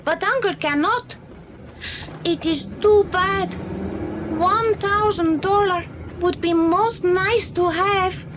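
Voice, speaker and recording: Audio play role: earnest young Spanish-accented boy, very high-pitched, simple broken English, noisy old recording. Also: takes it slowly. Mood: regretful. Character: earnest young immigrant boy, Spanish-speaking, telling a story. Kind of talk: British audio drama acting.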